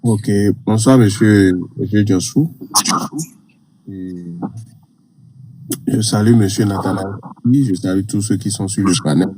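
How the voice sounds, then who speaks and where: A man phoning in to a live show, hoarse from a cold, speaking as a Goun speaker, rambling and halting with many fillers. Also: fairly low pitch, noisy background. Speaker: caller.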